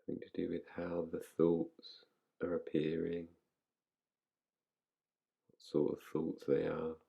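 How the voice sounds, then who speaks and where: A man leads a guided relaxation with a calm, soothing delivery. His voice is very low and creaky, with a dark, mellow tone. He lets long pauses settle between his phrases. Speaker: meditation guide. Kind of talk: guided relaxation, yoga nidra meditation.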